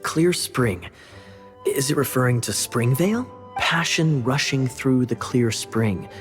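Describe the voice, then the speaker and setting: A man playing a suave, sly young knight in a video game, speaking in a smooth, wry, teasing and theatrical manner. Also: medium pitch, lively intonation, takes it slowly. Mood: musing. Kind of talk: video game character voice acting. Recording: faint game music behind.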